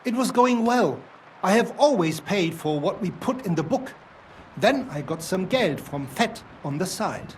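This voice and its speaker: An actor playing a nervous, defensive middle-aged ore assayer with a German accent, for a video game. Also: male voice, sits high, animated, a little slow, a little hiss or hum, rough recording. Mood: fearful. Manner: defensive, then frightened and confessing.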